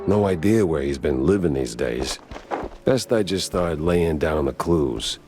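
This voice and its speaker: A low-voiced, world-weary private eye of a cartoon mouse, dry and sardonic. His lines swing expressively in a hardboiled noir game style, with faint music underneath. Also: animated, unhurried, a little mellow. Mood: wry.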